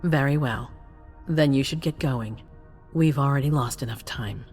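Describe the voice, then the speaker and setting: A woman's voice: a bass voice, measured pace, darkish tone, husky, full character voice. A female vampire ruler, grave, formal, authoritative, resolute, video game drama, music behind. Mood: commanding.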